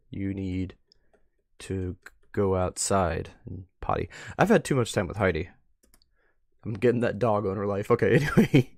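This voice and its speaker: A man doing casual live commentary as a streamer, talking in a slangy, excitable way with mild substitute swears. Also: on the low side, gravelly, no echo, clean studio sound. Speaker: streamer reacting to videos.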